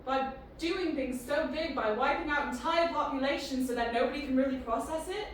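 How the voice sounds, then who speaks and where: Echoey, higher-voiced wry young woman played by an actress. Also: a little fast, far from the mic, natural voice. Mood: reflective. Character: Christine, curious, wry young human woman from Earth. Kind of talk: audio drama acting with first-person narration. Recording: very echoey, off-mic, clearly noisy.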